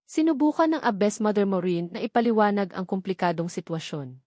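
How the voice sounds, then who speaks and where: A woman's voice: mid-range voice, expressive, fairly quick, a little mellow, no echo, studio quality. A woman reading a novel aloud as a scripted audiobook narration.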